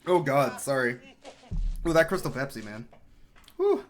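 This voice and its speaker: A man's voice: mid-range voice, lively intonation, unhurried. A man giving casual, joking live speedrun commentary with a sarcastic edge. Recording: game music playing behind.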